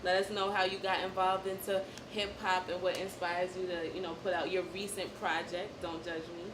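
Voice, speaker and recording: A woman's voice: fairly low pitch, little pitch movement, moderate pace. A woman with an American accent hosting a casual interview in an upbeat, slangy way. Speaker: interview host. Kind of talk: casual hip-hop interview. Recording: echoey room, clear background noise.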